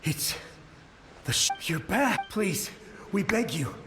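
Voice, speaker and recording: A shaken soldier from an anime game, speaks in halting, pleading phrases. The voice carries a long, echoing reverb with clear music behind it. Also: male voice, high-pitched, very animated, heavily acted. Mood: desperate pleading. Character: desperate, shaken soldier pleading with his ruler.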